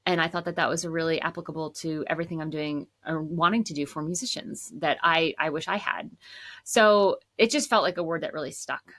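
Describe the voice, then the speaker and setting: A woman in her thirties, a songwriter and composer, chatting casually about her work in a low voice with lots of "like.". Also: brisk. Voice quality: says she has a low voice. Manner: chatty, says "like" often, relaxed. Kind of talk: casual storytelling to camera.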